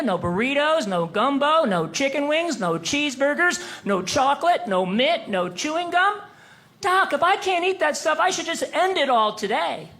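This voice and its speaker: A man in his fifties doing a stand-up comedy set on a club stage, telling stories wryly and landing crisp punchlines. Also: extremely high pitch. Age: 50s. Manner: wry, deadpan storytelling with crisp punchlines.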